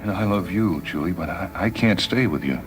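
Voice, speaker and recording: A man playing a dying spaceman in a dramatised story, wistful, haunted and intense, with halting, emotional delivery. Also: low voice, animated, soft background music, rough recording. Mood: anguished, longing. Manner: halting, emotional, repeats words. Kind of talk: dramatised short-story reading.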